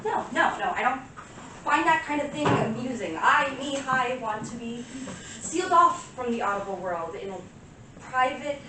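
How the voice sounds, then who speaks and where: Actress as a neurotic, high-voiced woman, very echoey. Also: lively intonation, bright, forceful tone. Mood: exasperated, frantic. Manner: rambling, exasperated, halting self-interruptions. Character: neurotic, noise-hating woman composer, highly strung. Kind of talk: naturalistic stage monologue. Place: small live stage venue with audience. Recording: very echoey room, distant mic, faint noise.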